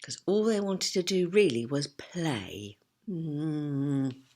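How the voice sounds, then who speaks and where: A woman's voice: low voice, lively intonation, takes it slowly, a little mellow. A woman reading a picture book to children, talking to them directly in an encouraging, teacherly way. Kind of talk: animated picture-book read-aloud with asides.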